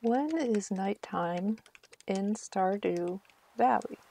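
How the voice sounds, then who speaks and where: Mellow, creaky-voiced woman streaming a game. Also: medium pitch, slow. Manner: self-deprecating, thinking aloud. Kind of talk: casual live stream gameplay chat.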